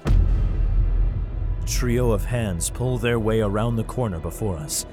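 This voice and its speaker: A man's voice: on the low side, slow pace. Man narrating urgently over music. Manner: urgent, tense storytelling. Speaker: narrator and lead character, a father. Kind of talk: first-person dramatic narration.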